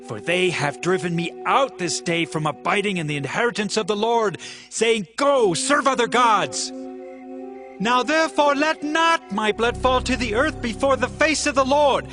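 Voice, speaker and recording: High-voiced, earnest young warrior. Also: male voice, sharp tone, full character voice. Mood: aggrieved pleading. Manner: formal, declamatory, earnest. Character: earnest, pleading young warrior loyal to his king. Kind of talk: dramatized scripture acting.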